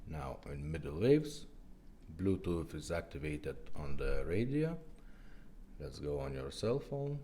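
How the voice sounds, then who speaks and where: A man's voice: rumbling low, big pitch swings, slow, dark tone, a little hiss or hum. A man giving a casual product demonstration as a technician, explaining step by step in short, simple phrases. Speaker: car radio repair technician demonstrating products.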